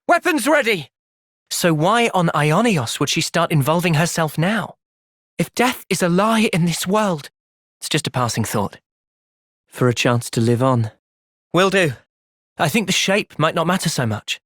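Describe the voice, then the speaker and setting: A young man acting an earnest, thoughtful soldier hero in a video game cutscene, speaking in a measured, sincere way. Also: a little high, expressive, a bit bright, dry recording, studio quality. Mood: urgent, intense, then thoughtful, steady.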